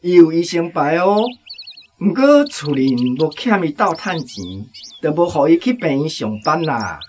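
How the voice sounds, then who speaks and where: A man's voice: fairly high pitch, very animated, moderate pace, a little mellow, slight background noise. A man playing a bossy but genial older head of a village household in a radio drama, with a Taiwanese accent. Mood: proud, hospitable.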